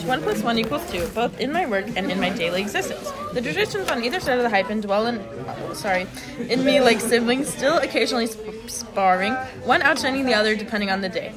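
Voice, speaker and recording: A woman's voice: a little high, very animated, fast talker, a loud crowd. A young woman, a student, reading aloud and chatting casually and playfully during group schoolwork. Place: busy classroom.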